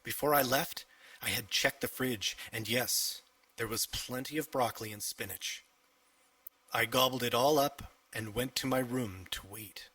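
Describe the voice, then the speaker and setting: Man as cheeky boy narrator, children's audiobook, excitable, emphatic, forceful husky voice, slightly distant mic. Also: medium pitch, a little slow, dry recording. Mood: eager. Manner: animated, emphatic storytelling. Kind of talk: first-person children's audiobook storytelling.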